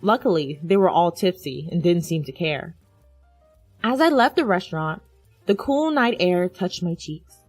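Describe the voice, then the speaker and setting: A woman's voice: on the low side, animated, normal speed, no room sound, faint music behind. A woman reading a scripted first-person dramatic story as its narrator.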